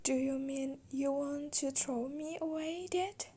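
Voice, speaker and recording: A woman's voice: high-pitched, fairly even intonation, a little slow, dark tone, a little hiss or hum, voice pushed up. A woman voicing a sad young princess in a storybook tale, pleading and hurt as she questions her parents. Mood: timid, disbelieving.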